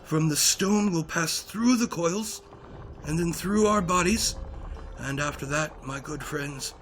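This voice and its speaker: A man's voice: fairly high pitch, takes it slowly, fairly bright tone, a little hiss or hum. A man playing a self-assured wizard in an audio drama, with a lofty, formal, theatrical manner. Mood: grand.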